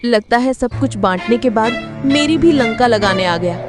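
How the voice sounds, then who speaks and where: A woman plays a scheming female cartoon fox with a high voice. She sounds grudging and sarcastic, full of complaint, over faint background music. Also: animated, moderate pace, natural voice. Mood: sly, grudging. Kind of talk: Hindi cartoon fable dialogue.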